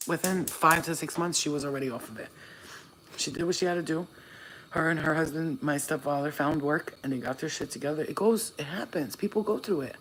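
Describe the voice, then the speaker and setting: A woman's voice: deep voice, fairly quick, a little hiss or hum, rough recording. A woman from Staten Island, hosting her own channel and talking straight to viewers in a blunt, profane, preachy way. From Staten Island, New York. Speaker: spiritual reader and channel host. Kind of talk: casual talk to camera.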